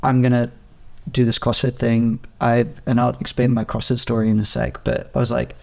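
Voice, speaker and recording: A man in his thirties who grew up in Spain talks as an interview guest. His pitch is mid-range and stays very even as he tells his story in a casual, conversational way. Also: normal speed, creaky. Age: 30s. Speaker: podcast guest, gym co-founder.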